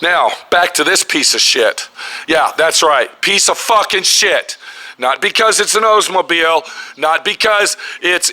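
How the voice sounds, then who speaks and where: A man in his fifties with a raspy voice, ranting and swearing nonstop as he shows work in his auto body garage. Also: sits high, bright, forceful tone. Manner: heavy swearing, pissed-off ranting. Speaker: custom auto body shop owner. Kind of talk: angry workshop vlog. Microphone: camera held close at arm's length.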